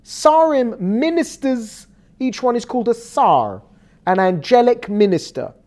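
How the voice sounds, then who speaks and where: A woman with an English accent giving a lively, conversational religious lecture, acting out quoted lines as she explains. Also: fairly high pitch, measured pace. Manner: animated, conversational teaching with quoted dialogue. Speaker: Torah teacher.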